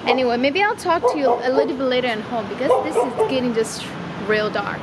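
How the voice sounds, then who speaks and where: A young woman chatting to her camera at arm's length, excitable and quick to laugh, walking through a busy food market and night streets. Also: high voice, over a noisy crowd, very noisy. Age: young adult. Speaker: travel vlogger. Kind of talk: casual vlog chat to camera. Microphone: handheld camera at arm's length.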